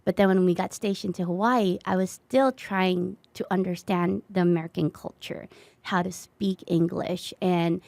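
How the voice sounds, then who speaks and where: A woman's voice: on the low side, creaky, dry recording. A Philippines-born woman whose first language is Tagalog, earnestly telling a personal story in a conversational interview.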